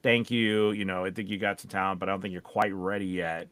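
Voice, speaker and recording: A man's voice: deep voice, fairly even intonation, quick pace, a bit bright, dry recording. A man hosting a casual podcast discussion, talking in a rambling, conversational way and posing questions. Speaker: podcast host and voice-over coach.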